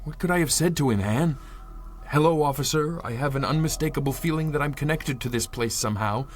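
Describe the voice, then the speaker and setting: A man's voice: on the high side, brisk, soft background music, lightly acted. An actor playing an earnest, protective amnesiac man with formal, hesitant phrasing in a 1930s-style adventure radio drama. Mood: puzzled, dry.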